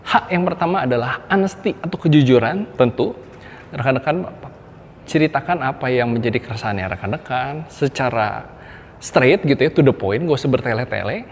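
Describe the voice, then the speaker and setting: A man's voice: medium pitch, animated, normal speed. An Indonesian man with an Indonesian accent teaching public speaking in an educational video lecture. He speaks animatedly, stresses key words, and addresses viewers directly. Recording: long reverb with faint background noise.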